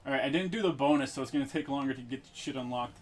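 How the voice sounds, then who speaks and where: A man streaming a video game and chatting casually, blunt and profane, with excitable outbursts. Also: mid-range voice, racing, faint music behind.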